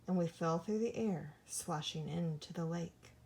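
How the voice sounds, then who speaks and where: A woman reads a rhyming storybook aloud for young listeners. Her voice is mellow and soft-edged, and she tells the tale with theatrical, dramatic expression. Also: on the low side, a little slow. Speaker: storybook reader.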